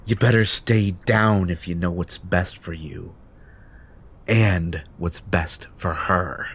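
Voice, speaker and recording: A man's voice: deep voice, steady tone, slow, fairly bright tone, husky, full character voice. A man playing an arrogant, possessive superhero in an audio drama, threatening, smug and domineering. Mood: menacing, gloating. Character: arrogant, possessive male superhero turned menacing bully. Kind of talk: audio roleplay drama acting.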